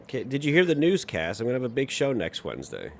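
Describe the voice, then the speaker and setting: A man's voice: mid-range voice, wildly swooping pitch, fast, slight background noise. A man hosting a livestream, chatting casually with co-hosts and viewers in a crude, sweary, bantering manner. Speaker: livestream host.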